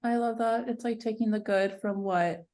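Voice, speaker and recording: A woman's voice: on the high side, fairly even intonation, normal speed, mellow. A woman co-hosting a podcast in casual interview style, affirming and chatty with her guest. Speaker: podcast co-host.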